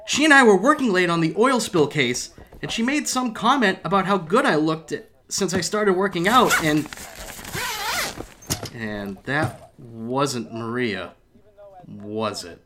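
A man's voice: a little high, expressive, measured pace, noisy background. An actor in an audio drama playing a flustered, bewildered man being left by his lover, pleading and stammering. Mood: confused, pleading.

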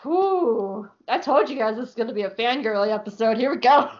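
Gushing, excitable woman podcaster. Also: a little high, a little fast. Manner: gushing, excitable, swears and laughs often. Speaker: podcast host. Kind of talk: casual podcast chat. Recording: dull lo-fi sound.

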